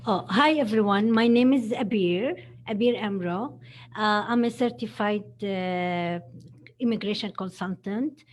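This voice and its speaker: A woman's voice: mid-range voice, a little slow, slight background noise. Friendly woman. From Palestine. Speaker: immigration consultant. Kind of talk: brief self-introduction.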